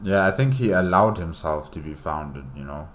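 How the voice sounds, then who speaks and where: A man giving casual, unscripted commentary as a reaction host, haltingly thinking aloud. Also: low voice, expressive.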